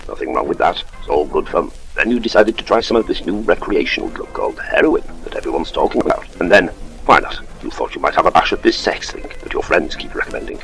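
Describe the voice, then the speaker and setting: Very deep, gravelly, patronising advert man acted. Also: expressive, fast talker, fairly bright tone, faint music behind, heavily acted, low-quality recording. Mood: patronising, scolding. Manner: mock-chummy, condescending. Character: patronising, scolding male public-information advert announcer. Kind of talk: parody advert voice-over.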